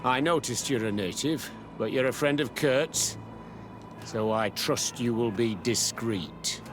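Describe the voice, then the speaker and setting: An actor playing a guarded, commanding military captain in a video game, speaking in a measured, curt and authoritative way. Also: male voice, medium pitch, music in the background, lightly acted. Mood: guarded, official. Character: guarded, commanding male captain running a secret military camp. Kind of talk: video game dialogue acting.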